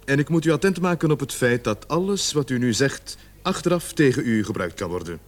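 An actor playing a measured, insinuating police sergeant in a radio drama, formal and deliberate as he questions a suspect. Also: medium pitch, lively intonation, lightly acted. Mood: probing, insinuating. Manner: formal, deliberate, falsely soothing. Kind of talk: Dutch-language radio drama acting.

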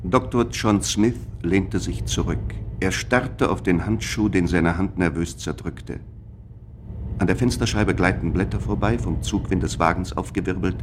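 A man reading scripted literary narration for a radio play in a measured, sober manner. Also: low-pitched, background noise.

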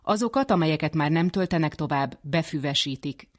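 A man's voice: fairly high pitch, animated. A man reading scripted narration for a radio programme.

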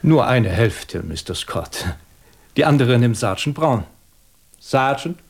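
An actor playing a shrewd, composed police inspector in a German radio crime drama, questioning methodically with dry superiority. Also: male voice, medium pitch, lively intonation, slow pace, breathy edge, exaggerated voice. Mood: dryly triumphant. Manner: methodical, probing, dryly superior.

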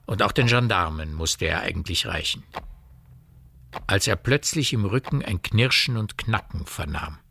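A man reading scripted literary narration for a radio play, telling the story in a measured way. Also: deep voice, animated, creaky, sharp tone, breathy edge.

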